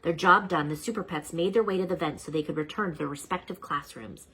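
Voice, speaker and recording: A woman reading a children's storybook aloud as an animated storyteller. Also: deep voice, fast talker, breathy edge, low-quality recording. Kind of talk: expressive read-aloud narration.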